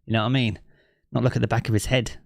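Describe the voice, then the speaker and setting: A man with a southeast English accent talks easily with his stream chat, wandering through thoughts with plenty of fillers. His voice sits at an ordinary middle pitch. He is recorded on a close streaming mic in a living room, with a dry sound and no room echo. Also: little pitch movement, rapid-fire, gravelly. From southeast England. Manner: chatty and easygoing, thinks aloud with many fillers. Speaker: game streamer. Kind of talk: casual live-stream chat, reading chat aloud. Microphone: streaming mic with effects.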